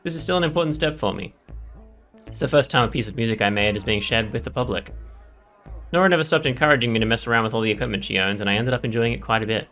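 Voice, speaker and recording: A man's voice: medium pitch, animated, fast talker, fairly bright tone, faint music behind. A man playing through a visual novel, reading the narration aloud and adding his own reactions. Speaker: let's player.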